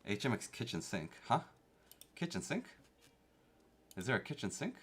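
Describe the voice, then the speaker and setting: A man's voice: mid-range voice, wildly swooping pitch, normal speed, a bit bright, breathy edge. A young man from Canada who grew up speaking Korean at home, chatting casually with live-stream viewers and reading the chat aloud. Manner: chatty, reads chat aloud, playfully defensive.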